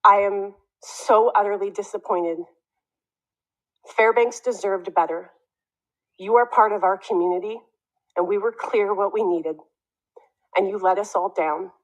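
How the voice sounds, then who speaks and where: A woman's voice: medium pitch, poor audio. A woman giving an emotional, reproachful speech as the presiding officer at a public board meeting. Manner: reproachful, emotional, deliberate address.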